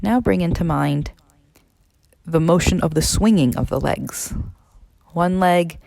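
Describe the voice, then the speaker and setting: A woman narrating a guided meditation as an instructor, in a measured, instructional way with pauses between phrases. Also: on the low side, gravelly, darkish tone, no echo. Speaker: neurologist leading a guided meditation.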